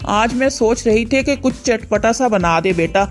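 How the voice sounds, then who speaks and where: A woman's voice: a little high, animated, moderate pace, faint music behind, lightly acted. A woman voicing an indulgent older mother-in-law in a cartoon, who coaxes and goes along with others. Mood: coaxing. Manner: coaxing, agreeable. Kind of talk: cartoon voice acting.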